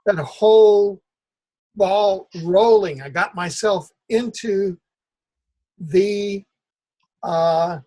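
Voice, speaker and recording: An older man hosting a conversational interview, speaking in a halting, deliberate way. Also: high voice, a little mellow. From Cuba. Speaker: interview host.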